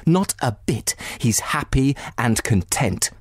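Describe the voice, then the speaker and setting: A man voicing a distraught, bitter betrayed wife in a novel, near tears, with anguished, halting and emphatic delivery. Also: medium pitch, sing-song, breathy edge, no echo, studio quality, full character voice. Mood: bitter, hurt.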